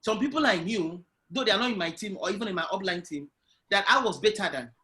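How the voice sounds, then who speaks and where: A man talks through his entrepreneurial journey in an online interview. His voice sits high and bright, and he tells his story loudly and with animation, as heard through a video call. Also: animated, fast. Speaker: guest entrepreneur.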